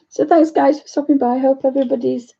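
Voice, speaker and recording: A woman with a croaky voice hosting a live painting session, chatting to viewers and thinking aloud as she works. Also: high voice, mellow, muffled recording, slight background noise. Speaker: art channel host. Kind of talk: live painting demo chat.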